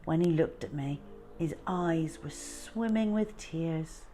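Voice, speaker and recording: A woman hosting a children's story time, narrating expressively with deliberate, dramatic phrasing. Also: deep voice, mellow, a little hiss or hum.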